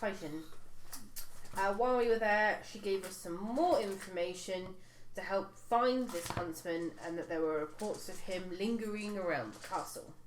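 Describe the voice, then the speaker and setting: A woman casually recaps a tabletop campaign, stopping for fillers and small restarts along the way. Her voice sits at a middling pitch. It carries around an echoey room, with a faint hiss underneath. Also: moderate pace.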